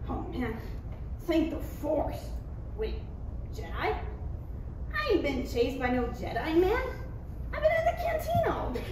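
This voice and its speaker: A woman voicing an indignant, rambling drunk man with slangy, drawn-out protests in a comedy table read. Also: high-pitched, very animated. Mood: rambling, relieved. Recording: distant, echoey, noisy room recording.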